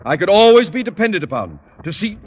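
An actor playing a pompous, nervous, middle-aged school principal with sarcastic, theatrical bluster in a radio sitcom. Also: male voice, high-pitched, very animated, brisk, breathy edge, long reverb tail, full character voice. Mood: blustering indignation. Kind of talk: 1950s radio sitcom acting. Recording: dull, noisy old radio recording.

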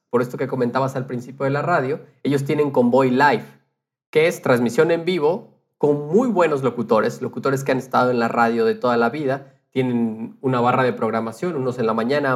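Guy chatting on a podcast as the guest, casual, normal mid pitch voice. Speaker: podcast guest, audio producer.

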